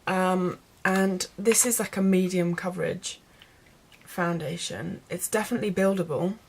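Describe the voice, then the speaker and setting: A woman's voice: lowish voice, a little slow, creaky, darkish tone, a little hiss or hum. A woman chatting casually as a beauty vlogger, explaining things step by step to her viewers in an informal tutorial style. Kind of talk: casual makeup tutorial chat.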